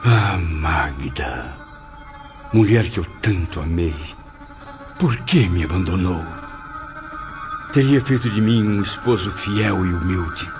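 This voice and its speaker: An actor playing a cruel, gloating villain obsessed with love, theatrical and mocking in an audio drama. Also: male voice, low-pitched, lively intonation, slow, long pauses, exaggerated voice. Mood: brooding regret. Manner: theatrical, mocking, swinging between menace and pleading. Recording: dull lo-fi, music behind.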